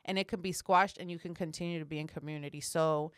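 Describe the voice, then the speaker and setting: Female podcast co-host, smooth clear voice, direct and encouraging, dry close sound. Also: low voice, steady tone, quick pace, no room sound. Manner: encouraging and direct, with frequent affirming murmurs. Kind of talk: casual podcast conversation.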